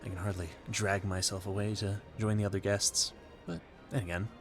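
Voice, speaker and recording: Polite, awkward gentleman ball guest for audio roleplay, played by a man; deepish creaky voice, effusive, stammering, rambling. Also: flat delivery, normal speed, over music, lightly acted. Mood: charmed, admiring. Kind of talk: audio roleplay addressed to the listener.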